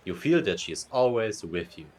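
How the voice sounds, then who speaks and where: A kindly ghost of a dead friend, played by a man in an audio drama. He sounds playful and musing, with a lowish, husky voice and very lively intonation. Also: moderate pace. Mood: reassuring. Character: beloved dead friend, a kindly teasing male apparition.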